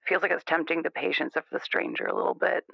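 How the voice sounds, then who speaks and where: A man's voice: a little high, huge pitch swings, fast, gravelly, dry recording, full character voice. A man playing a defensive, conformist young caller, insistent and rambling, in an improvised radio call-in drama. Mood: uneasy, defensive. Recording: band-limited, muffled phone-in radio sound.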